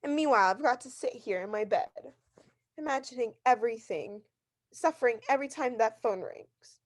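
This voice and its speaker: A woman's voice: on the high side, moderate pace, natural voice. A woman playing a nervous, imperious bedridden wife in a theatrical radio drama, agitated and haughty as she complains. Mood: indignant. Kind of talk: theatrical 1940s-style radio drama acting.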